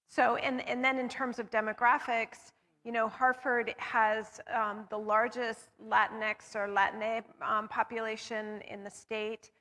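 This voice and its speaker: A woman's voice: a little high, creaky, sharp tone, in a large hall. A woman on a discussion panel, talking steadily and carefully, correcting herself as she goes through figures. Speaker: panelist on local voting data.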